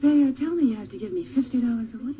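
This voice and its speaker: A woman's voice: a little high, lively intonation, racing, close to an everyday voice. An actress playing a catty, teasing young woman in a film scene. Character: catty young woman landlady's tenant-mate. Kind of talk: film dialogue. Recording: dull lo-fi film audio with music.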